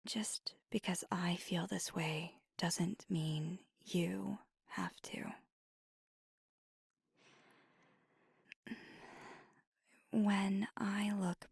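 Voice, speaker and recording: A woman performs a tender, nervous vampire girlfriend in an ASMR roleplay. Her voice is very breathy and mostly whispered, with heavy creak at the edges. She confides slowly and haltingly, very close to the microphone. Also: medium pitch, darkish tone, dry recording, exaggerated voice. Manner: hesitant, halting, earnest confiding. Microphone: close, dry microphone.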